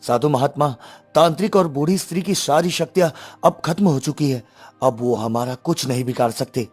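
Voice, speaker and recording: A man's voice: medium pitch, moderate pace, a little mellow, breathy edge, soft background music, close to an everyday voice. A man voicing a brave young prince in a cartoon story, speaking formally and resolutely. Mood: respectful. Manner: formal, declarative.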